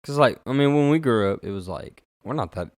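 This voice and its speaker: A man's voice: medium pitch, expressive, fairly quick, no echo, clean studio sound. A young man co-hosting a podcast, laid-back and teasing, with slangy casual talk. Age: young adult. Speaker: podcast co-host. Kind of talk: casual chat.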